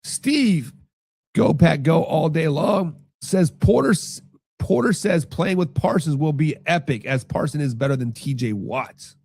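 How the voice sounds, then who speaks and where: A man hosting a casual football talk stream, his voice worn hoarse and gravelly from being sick, rambling and repeating himself with lots of fillers. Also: on the high side, lively intonation, unhurried, a little mellow, dry recording. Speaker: podcast host. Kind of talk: casual sports talk reading live chat.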